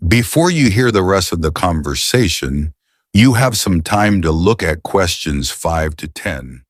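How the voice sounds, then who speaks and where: A man's voice: deep voice, sing-song, measured pace, no room sound, clean studio sound. A man reading scripted, formal instructions as the announcer of a listening test. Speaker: exam announcer.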